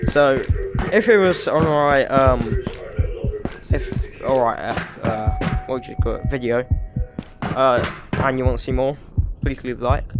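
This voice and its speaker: A man with a British accent giving rambling, casual commentary over his gameplay, swearing freely and filling pauses with "like" and "um". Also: medium pitch, over loud music. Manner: rambling, frequent swearing and fillers. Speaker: gaming YouTuber.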